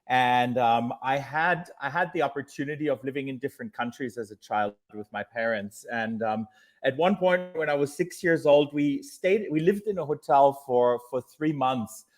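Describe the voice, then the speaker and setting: A man from Germany with a clear German accent, talking as a guest on a business podcast. He tells his career story in a loose, easygoing way, with small fillers and restarts. His voice sits at a middling pitch for a man. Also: moderate pace. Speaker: guest, hotel executive. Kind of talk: casual podcast interview.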